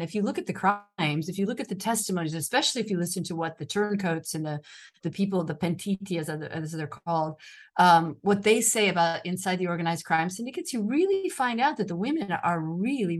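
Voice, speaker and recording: An American woman journalist answering interview questions in a thoughtful, conversational way, often restarting her sentences. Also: medium pitch. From United States. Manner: thoughtful, with frequent restarts and fillers. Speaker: journalist and author, interview guest.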